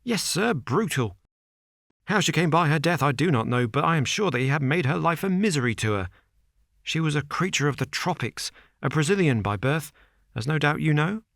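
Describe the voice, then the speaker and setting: A man's voice: fairly high pitch, lively intonation, normal speed, gravelly, fairly bright tone, dry recording, clean studio sound, pitched above the performer's own voice. A man doing a panicky, breathless estate manager. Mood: agitated. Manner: gasping short agitated sentences. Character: frightened, breathless male estate manager, middle-aged.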